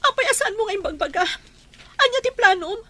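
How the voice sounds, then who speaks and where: A woman's voice: extremely high pitch, animated, a little fast, pushed and bright, exaggerated voice. A woman with a Filipino accent playing a hurt, jealous young woman in an emotional radio drama. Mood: suspicious.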